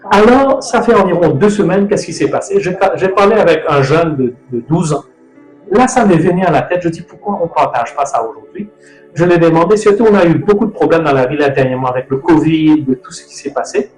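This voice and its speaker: Casting: middle-aged male host, from Mauritius, a touch high, loose rambling delivery, echoey room with faint music. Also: animated, fairly quick. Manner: rambling, loose, self-correcting. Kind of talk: casual talk on nutrition. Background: soft music.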